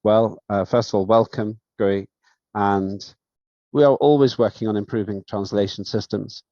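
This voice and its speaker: A man with a deep, low voice chairs a parliamentary session, speaking in a measured, halting way and pausing often on fillers. His voice comes through a video call microphone. The sound is dull, lo-fi and very dry. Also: fairly even intonation, warm tone, dry recording. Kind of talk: formal remarks at an online session.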